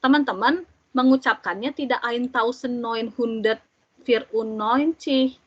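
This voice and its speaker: Slow, patient young woman teacher. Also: a little high, no room sound. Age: 20s. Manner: repeats numbers slowly and clearly for learners. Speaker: German language teacher. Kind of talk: slow, patient classroom explanation. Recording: dull, dry lo-fi audio.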